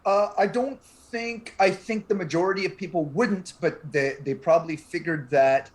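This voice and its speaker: A man's voice: high voice, moderate pace. A man speaking as a panel guest in a casual, hesitant way. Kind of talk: casual chat.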